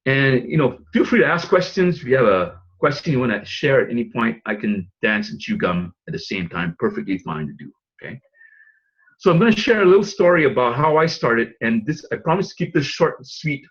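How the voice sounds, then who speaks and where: A man's voice: medium pitch, very animated, a little fast, slightly rough, lo-fi sound. A man who grew up in Singapore, presenting a business opportunity to an audience in a loose, rambling way with frequent fillers and light chuckles. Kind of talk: webinar pitch talk.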